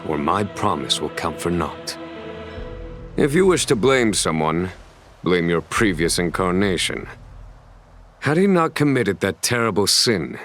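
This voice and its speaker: A stately game general, really low voice, formal and composed, music behind. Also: male voice, a bit bright, full character voice. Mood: firm, regretful. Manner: measured, formal, eloquent. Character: composed, stately male general of a fantasy realm. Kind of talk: dramatic scripted game dialogue. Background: background music.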